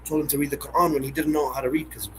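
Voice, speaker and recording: A man in a casual talk who speaks quickly, breaks off mid-word and hunts for the right phrase. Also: fairly high pitch, steady tone, slight background noise, rough recording. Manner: fast, gropes for words, trails off. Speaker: conversation partner. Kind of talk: casual conversation about religion.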